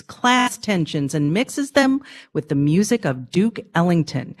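A woman hosting a live radio talk show from a performance studio, brisk and upbeat as she steers the conversation. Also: fairly low pitch.

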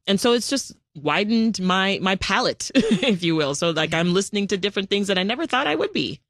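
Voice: medium pitch, sing-song, a little fast, a bit bright, no echo, studio quality. A radio host from Jamaica, talking in a casual, chatty interview, laughing often and using many fillers.

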